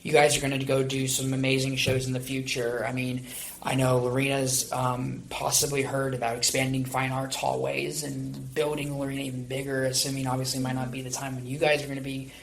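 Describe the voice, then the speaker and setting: A young man in his late teens hosts a casual interview show. His voice has noticeable fry, and he rambles along in an encouraging tone. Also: medium pitch, monotone, brisk, rough recording. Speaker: podcast host.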